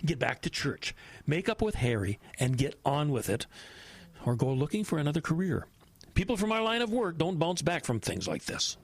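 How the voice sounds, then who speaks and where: An actor playing a brisk, pragmatic senior pastor in a horror audio drama, admonishing and stern with little patience. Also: male voice, on the high side, very animated, slight background noise. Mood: impatient. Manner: admonishing, impatient.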